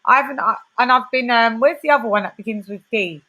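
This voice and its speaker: A woman's voice: on the high side, fast talker, bright, forceful tone. A woman with a London accent, hosting a casual livestream chat and reading out viewers' comments. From West London. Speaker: livestream host.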